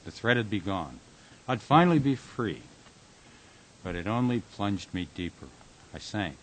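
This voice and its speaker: An actor playing a tormented, haunted man in a radio drama, speaking in a brooding, halting, intense way. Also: fairly low pitch, very animated, rough recording. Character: Jack, a tormented man haunted by falling dreams. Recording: hissy amateur recording.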